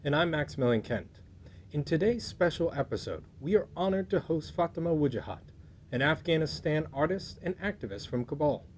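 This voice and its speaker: A man's voice: a little high, lively intonation, brisk, slight background noise. A young man co-hosting an interview, introducing the guest and asking questions. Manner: brief acknowledgments while listening. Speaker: co-host.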